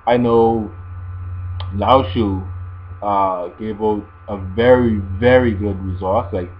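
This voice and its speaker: A man's voice: lowish voice, fairly even intonation, slow pace. A man giving casual, explanatory advice to viewers in a language-learning video blog, often repeating his phrases as he goes. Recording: dull lo-fi sound with mains hum.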